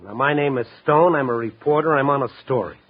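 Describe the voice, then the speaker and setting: An actor playing a tough, dogged night-beat newspaper reporter in a 1950s radio drama, narrating and talking in a terse, urgent, hard-boiled way. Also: male voice, medium pitch, fast, phone-quality sound, close to an everyday voice. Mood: impatient, insistent.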